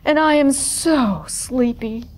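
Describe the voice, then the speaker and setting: A woman voicing a sleepy male elephant in a children's storybook, weary and drawn-out in manner. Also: sits high, big pitch swings, noisy background, voice pushed up, heavily acted. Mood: puzzled, weary. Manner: yawning, drawn-out complaining.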